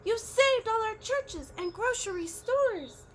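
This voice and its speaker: A woman voicing a tiny, cheerful male town mayor in a storybook, with a piping, squeaky voice. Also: expressive, takes it slowly, slight background noise, full character voice. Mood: grateful. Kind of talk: storybook reading aloud.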